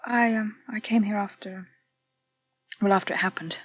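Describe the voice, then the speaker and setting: An actress playing a shaken woman in a radio soap, speaking in a halting, guarded way. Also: mid-range voice, fairly even intonation, no room sound, lightly acted, poor audio. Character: shaken, hesitant female assault survivor. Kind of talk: British radio soap acting.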